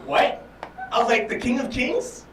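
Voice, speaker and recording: A young man from Orlando telling stories stand-up style in an animated, slangy, profane way. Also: very high-pitched, a little slow. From Orlando, Florida, United States. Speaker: stand-up comedian, guest. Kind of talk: stand-up storytelling and casual banter. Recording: echoey room, distant mic, crowd.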